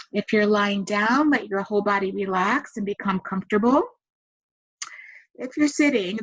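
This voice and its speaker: A woman leading a guided meditation, giving gentle, soothing instructions with long pauses. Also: medium pitch. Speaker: meditation guide and massage therapist. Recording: dull, muffled lo-fi sound.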